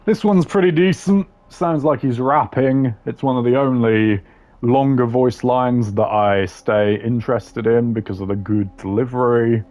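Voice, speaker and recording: A man's voice: mid-range voice, a little slow, darkish tone, soft background music. A man giving casual, slangy tier-list commentary in a blunt, opinionated way. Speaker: commentator ranking game voice lines.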